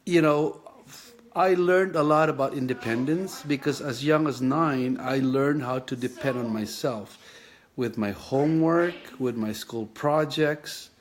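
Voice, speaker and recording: A Filipino man in his fifties recalls his past at an unhurried, slow pace. His voice sits at a middle pitch. He pauses often, restarts his sentences and fills the gaps with "um" and "uh" as he thinks back. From Philippines. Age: 50s. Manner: halting, reflective, many fillers and restarts. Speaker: patient telling his story. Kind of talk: personal storytelling.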